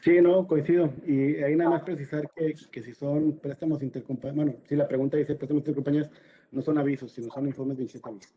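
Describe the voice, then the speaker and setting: A man with a Mexican accent reading and answering questions in an informal legal panel, repeating words haltingly and often ending on a questioning tag. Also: a little high, steady tone, warm tone, dull audio. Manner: hesitant, repeats words, tags sentences with "¿no?".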